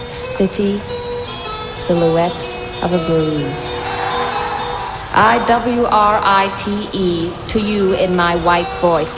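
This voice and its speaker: A woman giving a slow, measured spoken-word poetry reading. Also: on the low side, poor audio. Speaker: poetry reader. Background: loud music soundscape.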